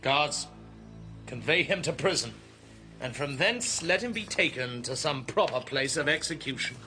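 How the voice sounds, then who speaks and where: An actor playing a proud, contemptuous young king in a classical radio drama, with a husky voice and an imperious, declamatory manner. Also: medium pitch, lively intonation, moderate pace, bright, forceful tone, soft background music. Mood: commanding, angry. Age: young adult.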